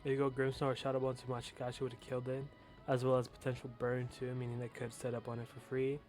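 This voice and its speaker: Casting note: young guy with a noticeable lisp, relaxed and offhand, faint music behind. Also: medium pitch, fast. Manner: casual, with fillers and swearing. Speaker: competitive game commentator. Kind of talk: casual match commentary.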